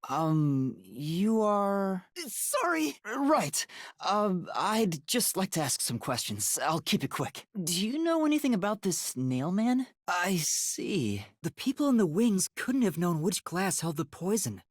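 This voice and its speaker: A man voicing a timid, earnest young male trainee detective in an anime-style video game, with a youthful, breathy voice and a polite, hesitant manner. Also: high voice, big pitch swings, takes it slowly, dry recording, studio quality, exaggerated voice. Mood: polite, inquiring.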